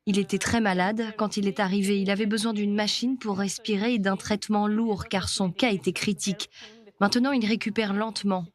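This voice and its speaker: Female dub voice, mid pitch, plain steady read. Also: fairly quick. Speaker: voice-over translator for a doctor. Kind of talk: voice-over translation.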